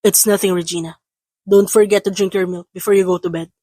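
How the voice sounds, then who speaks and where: A woman's voice: medium pitch, fairly even intonation, brisk, mellow, no room sound, close to an everyday voice. A woman with a Filipino accent voicing a possessive, brooding man in love in an audiobook romance, terse and mixing two languages. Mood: curt. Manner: terse, switches between English and Tagalog.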